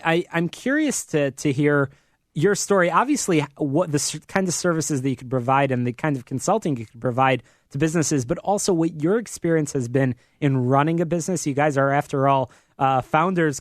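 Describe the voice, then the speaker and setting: A man's voice: on the high side, no echo. An American man hosting a live business talk radio show from a studio in an upbeat, promotional manner.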